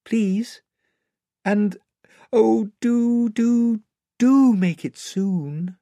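A man voicing an earnest, emotional young girl in a storybook, pleading politely in a high, breathy voice. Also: very slow, mellow, no room sound, clean studio sound, full character voice. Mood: pleading, upset.

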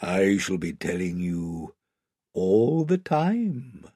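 Storybook lion played by a male narrator: majestic and gentle, low breathy voice, slow grave delivery, dry sound. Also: sing-song, no room sound, exaggerated voice. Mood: solemn, reassuring.

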